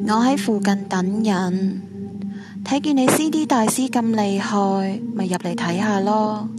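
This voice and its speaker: A woman acting as a brusque teenage schoolgirl in a ghost radio play speaks Hong Kong Cantonese. Her fairly high voice is curt and mocking, with loud music playing behind it. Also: a little slow, lightly acted. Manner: curt, challenging.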